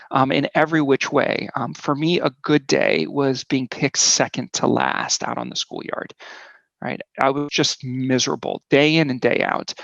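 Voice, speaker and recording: Gravelly man telling his story. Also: fairly high pitch, fairly bright tone, no room sound, highs cut off. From Switzerland. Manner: conversational, frequent "right?" and "um" fillers. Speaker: guest speaker. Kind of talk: storytelling talk with slides.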